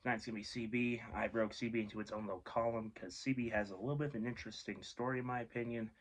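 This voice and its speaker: A man giving a casual, informal explainer as a video host, rambling and offhand, often correcting himself. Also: on the low side. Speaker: host of a radio hobby video series.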